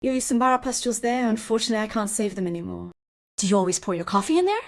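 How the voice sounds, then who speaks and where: An actress playing a brisk, irritable, unsentimental police colleague in a crime radio play, snippy and impatient. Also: female voice, mid-range voice, expressive, natural voice. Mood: irritated.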